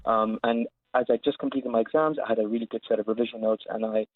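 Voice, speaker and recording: Male interview guest, twenties, from Saudi Arabia, fast conversational delivery, dry narrow call-line sound. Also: mid-range voice, fairly even intonation, no echo. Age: 20s. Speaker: interview guest, founder of an education platform. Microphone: remote call line. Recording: narrow phone-like live line.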